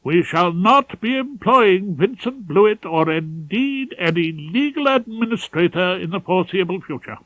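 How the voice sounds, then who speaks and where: A man's voice: high voice, expressive, normal speed, hardly any pauses, fairly bright tone, no echo, voice pushed up, low-quality recording. A man voicing a pompous, prim, scandalised middle-aged male head barrister in an audiobook, with formal and hesitant phrasing. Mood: prim, grave. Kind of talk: audiobook character voice.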